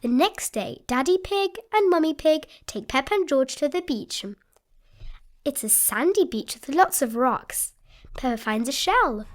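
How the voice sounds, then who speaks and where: High-voiced, animated woman reading to small children. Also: a little slow. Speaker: storybook reader. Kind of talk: expressive read-aloud narration for small children.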